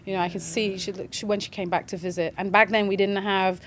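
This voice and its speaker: British woman in her 40s chatting as a guest, lively storyteller, laughs a lot, faint hum behind. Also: mid-range voice, fairly quick. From England. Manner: animated storytelling, frequent laughs and restarts. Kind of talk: casual interview conversation.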